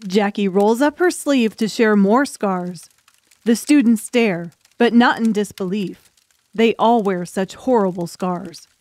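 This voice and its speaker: A woman's voice: mid-range voice, measured pace, darkish tone, dry recording. Dry, close-sounding woman narrator. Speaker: audiobook narrator. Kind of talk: scripted dramatic narration.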